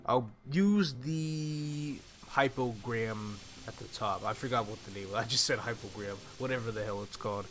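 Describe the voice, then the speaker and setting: A man's voice: mid-range voice, normal speed, few pauses. A young man giving an easygoing, casual video game walkthrough, thinking aloud into a close desk microphone. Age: young adult. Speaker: gaming video host. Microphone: desk microphone close to the mouth. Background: game music.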